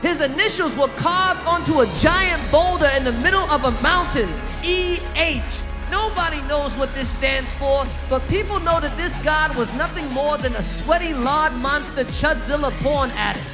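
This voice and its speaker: A man's voice: very high-pitched, normal speed, hardly any pauses. A man narrating a scripted storybook-style tale as its narrator. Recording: loud music under the voice.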